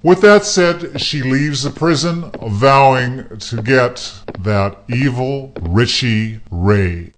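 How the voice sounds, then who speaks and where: A man's voice: mid-range voice, takes it slowly, slight background noise, lightly acted. A man narrating a scripted radio play as its storyteller. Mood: storytelling. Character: storytelling narrator of a crime comedy.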